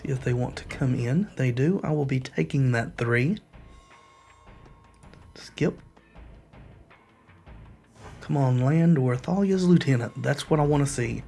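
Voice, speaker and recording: A man talks through his own card game, first reading out card rules and then muttering along with each play. He is getting over a cold and keeps his voice easy. Quiet music runs under his mid-pitched voice. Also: measured pace, creaky, long pauses. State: recovering from a cold, saving his voice. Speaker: gameplay commentator. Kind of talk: casual gameplay commentary.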